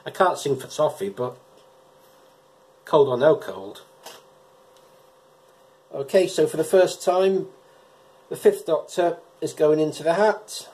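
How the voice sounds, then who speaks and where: A chatty middle-aged man with a cold talks straight to his camera. His voice sits slightly high for a man, and he stumbles and repeats words as he goes. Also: slow pace, pauses often, a little mellow. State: has a head cold. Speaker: vlogger reviewing episodes. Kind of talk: casual personal vlog talk. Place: living room indoors, and a car park outdoors at night.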